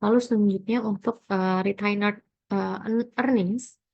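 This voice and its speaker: A young woman talks through a worked tutorial in a medium-pitched voice. She is halting and hesitant, thinking aloud between figures. The recording is dry and slightly muffled, with little room sound. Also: steady tone, gravelly, no echo. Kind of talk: informal accounting tutorial walkthrough.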